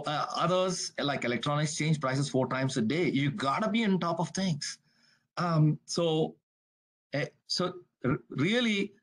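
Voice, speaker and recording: Brief: man, webinar presenter on a video call, brisk and casual, voice sits a bit high. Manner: brisk, conversational, frequent "you gotta". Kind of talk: business webinar talk.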